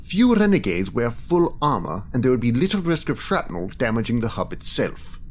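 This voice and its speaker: An actor playing a coolly analytical official in an audio drama, speaking in a formal, measured, clinical manner. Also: male voice, mid-range voice, sing-song, slight background noise, close to an everyday voice. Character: coolly analytical male official, precise calculating strategist.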